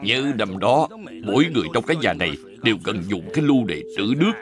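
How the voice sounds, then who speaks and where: A man's voice: lowish voice, sing-song, fast, a crowd in the background. A man voicing an offended, grumpy old spirit of a water jar in a children's cartoon. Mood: aggrieved. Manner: aggrieved, elderly. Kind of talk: Vietnamese dubbed children's cartoon acting.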